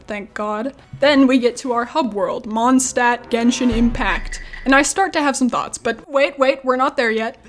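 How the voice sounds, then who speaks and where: High-voiced woman giving video commentary. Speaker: video essayist, YouTube host.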